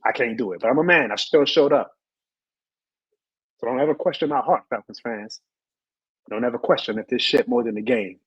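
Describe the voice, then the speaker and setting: A hoarse man who has lost his voice, hosting a live sports show and ranting with emphatic repeated lines. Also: medium pitch, a little fast, pauses often, no room sound, highs cut off. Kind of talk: live sports rant and chat reading.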